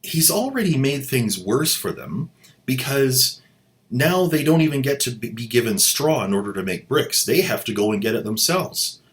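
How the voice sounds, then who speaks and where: A man's voice: mid-range voice, expressive, normal speed. A man hosting a comedic commentary with a sarcastic, mocking manner. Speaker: host.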